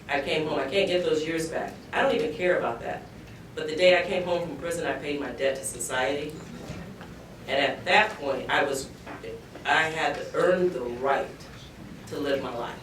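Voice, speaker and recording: A middle-aged man speaking earnestly and deliberately as a panelist, with measured pauses. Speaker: panelist, entrepreneur. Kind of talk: earnest panel discussion speech. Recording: echoey room, distant mic, background rumble.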